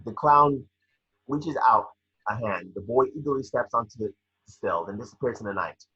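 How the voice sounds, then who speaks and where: Male table-read narrator, bright but very husky, scripted stage directions, slightly muffled home recording. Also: medium pitch, lively intonation, normal speed. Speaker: scene description reader.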